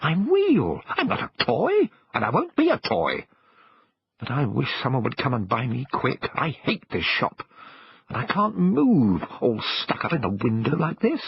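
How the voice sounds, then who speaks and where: An indignant little storybook dog , acted out by a man, English, petulant and emphatic. The voice is husky and leaps about in pitch, on a slightly dull recording. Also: wildly swooping pitch, measured pace, no room sound, exaggerated voice. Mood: indignant, fed up. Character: indignant little toy dog, once real, audiobook.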